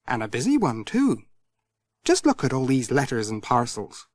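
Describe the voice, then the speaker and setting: A man voicing a friendly, chatty village postmistress in a children's audiobook, with a gentle lilt. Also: mid-range voice, huge pitch swings, moderate pace, dry recording.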